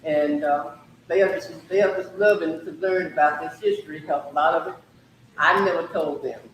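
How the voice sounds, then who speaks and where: A woman in her sixties from Mississippi, a retired teacher, telling her story in a measured, reflective way. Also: sits low, a little hiss or hum. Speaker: interview guest, retired teacher. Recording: echoey room, mic at a distance.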